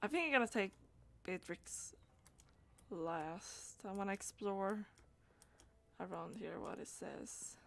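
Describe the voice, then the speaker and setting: A woman's voice: medium pitch, expressive, slow pace, vocal fry, pauses often. A woman streaming a game, murmuring her thoughts in loose asides as she plays. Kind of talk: live gameplay, reading dialogue aloud.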